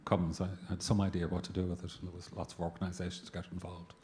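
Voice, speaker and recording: Older man in his 60s, very deep voice, reflective question from the floor. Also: completely flat delivery, fast, a little mellow. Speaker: audience member.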